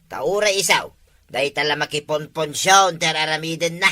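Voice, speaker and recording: A woman's voice: sits low, normal speed, pushed and bright. A woman with an Ilocano accent scolding and nagging in a comedy skit.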